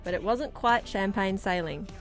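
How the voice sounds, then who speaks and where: A woman's voice: mid-range voice, moderate pace, over music. A woman, a sailing vlogger narrating and talking to a handheld camera on a beach in a plain, matter-of-fact way. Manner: plain, matter-of-fact storytelling with light laughs. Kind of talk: scripted voice-over narration and casual vlog talk. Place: outdoors on a beach. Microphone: camera held at arm's length.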